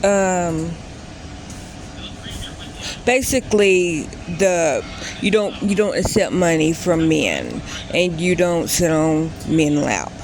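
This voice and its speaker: Slow-talking woman in her 30s, rambly vlog story, keeps backing up and fixing what she said. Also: lowish voice, lively intonation, a little mellow, a little hiss or hum. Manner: rambling, often restarts and corrects herself. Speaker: vlogger. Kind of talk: casual personal storytelling.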